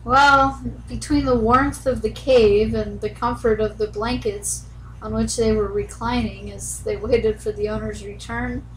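Higher-voiced woman telling a bedtime story. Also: fairly even intonation, a little slow, slight background noise, rough recording. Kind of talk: bedtime story narration, scripted.

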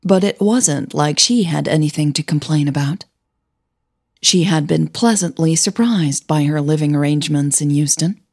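A woman's voice: lowish voice, dark tone, slightly rough. A woman narrating a scripted audio story as a podcast host.

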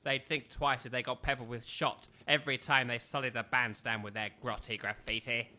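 Pompous, blustering English army major, sharp-edged. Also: male voice, mid-range voice, flat delivery, moderate pace. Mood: indignant, scornful. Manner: pompous, blustering, barking orders. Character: pompous, bad-tempered retired British army major. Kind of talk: comic audio drama acting.

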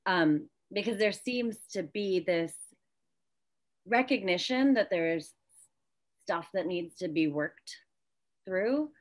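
Female, 30s, slightly low register. Informal host in a conversational interview. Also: lively intonation, slow pace, long pauses. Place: indoor room at home, video call.

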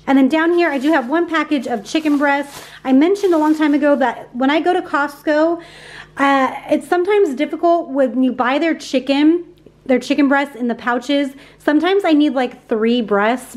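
A woman in her thirties chatting casually and upbeat in a voice-over about her groceries, with lots of fillers. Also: high voice. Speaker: grocery haul vlogger.

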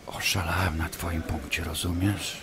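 An actor playing a smug, obsessive doctor in an audio drama, taunting and insinuating as a manipulative ex-lover. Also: male voice, deep voice, little pitch movement, unhurried, creaky, noisy background. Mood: hurt, accusing. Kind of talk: audio drama acting, dialogue.

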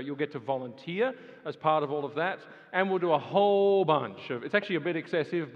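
A man making a casual, chatty announcement to an audience in a large auditorium, with lots of fillers and quick asides. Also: medium pitch. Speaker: event presenter promoting a program. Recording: reverberant hall, dull sound.

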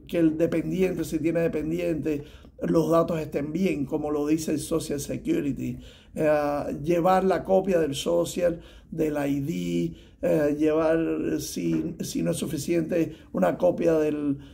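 A man in his fifties with a Cuban Spanish accent, a tax preparer giving halting advice to the camera from a small office, with many fillers and restarts. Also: fairly high pitch, creaky, warm tone. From Cuba. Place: small office room indoors.

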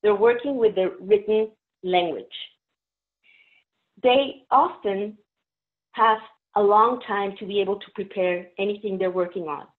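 A woman's voice: mid-range voice, measured pace, pauses often. A woman from Mexico, a native Spanish speaker with a Mexican Spanish accent, giving a friendly, explanatory interpreter training presentation on a video call. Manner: friendly, explanatory, uses fillers. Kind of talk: webinar presentation. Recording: phone-like narrow audio.

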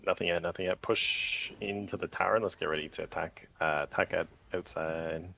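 A man leading a raid group over voice chat, calling short commands in rapid repeated bursts with countdowns. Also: sits low, fairly even intonation, vocal fry, sharp tone, a little hiss or hum, rough recording.

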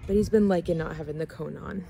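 A young woman vlogger with a slightly raspy, stuffy voice, chatting in a rambling way with lots of "like" fillers, filmed on a camera at arm's length at home and on a patio. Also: lowish voice, quick pace, music in the background. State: stuffy nose, a bit hoarse. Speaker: lifestyle vlogger. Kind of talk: casual rambling vlog chat. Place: home kitchen indoors, then back patio outdoors. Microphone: camera or phone at arm's length.

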